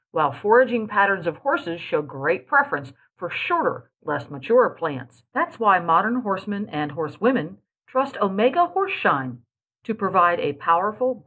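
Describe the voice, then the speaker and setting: Lively breathy woman reading an ad. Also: mid-range voice, rough recording. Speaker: ad announcer. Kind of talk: scripted commercial read.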